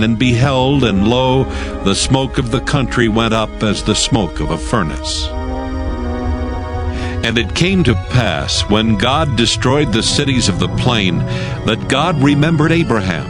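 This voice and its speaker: Casting: male narrator, mid-pitched, scripted archaic scripture reading, clear music bed underneath.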